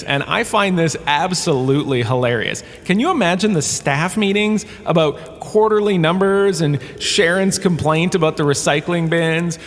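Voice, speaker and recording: A man's voice: on the high side, a bit bright. A man playing a glib, self-amused washed-up drama star giving a wry, conversational comedic lecture with theatrical emphasis. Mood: wry, explanatory. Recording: echoey cave-like reverb, ambient noise behind.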